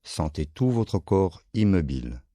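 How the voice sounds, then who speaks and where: A man leads a guided relaxation as a yoga instructor. His delivery is very slow and calm, with short phrases set apart by pauses. The voice is soft-edged and mellow, recorded close and dry. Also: sits low, no echo.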